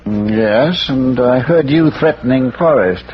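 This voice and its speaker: An actor playing a shrewd, unruffled gentleman detective, dry and politely measured, in 1940s radio drama. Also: medium pitch, lightly acted. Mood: probing. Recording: noisy, muffled old radio broadcast recording.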